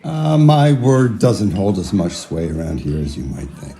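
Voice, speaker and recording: Actor as a measured, mellow male neuroscientist. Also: fairly low pitch, big pitch swings, gravelly, heavily acted. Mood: modest, polite. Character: idealistic, modest male neuroscientist giving talks. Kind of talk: audio drama acting.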